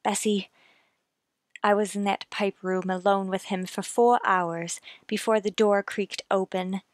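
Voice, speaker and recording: A woman acts out a frightened, genteel heroine in a period suspense radio play. She speaks slowly and earnestly at a medium pitch, with haunted drama. The recording is close and dry. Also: steady tone, no room sound, lightly acted. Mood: haunted, grave. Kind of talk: old-time radio suspense acting.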